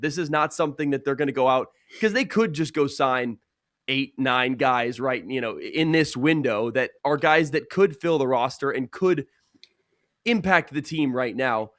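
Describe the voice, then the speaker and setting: A man's voice: medium pitch, a bit bright, no echo, studio quality. A young man, a sports broadcaster, giving earnest, conversational answers as a guest in a casual interview. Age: young adult.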